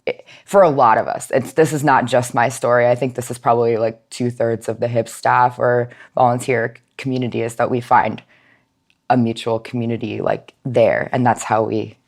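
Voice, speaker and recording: A woman with a strikingly low voice gives a reflective interview. She speaks conversationally, with halting phrases as she searches for what she means. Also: fairly even intonation. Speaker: nonprofit outreach manager, podcast guest. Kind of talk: reflective personal interview talk.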